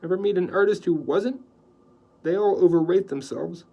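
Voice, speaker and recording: A man's voice: high-pitched, moderate pace, vocal fry, warm tone, dull audio, voice pushed up. A man voicing a blunt, cautious editor who gives warnings.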